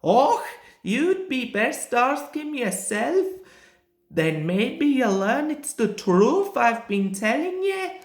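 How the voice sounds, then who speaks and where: A woman's voice: on the high side, very animated, takes it slowly, voice pushed up, exaggerated voice. A woman voicing a proud male steam engine from a storybook, with an emphatic, folksy Scottish dialect. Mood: indignant. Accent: Scottish dialect, put on. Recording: roomy, echoing home recording.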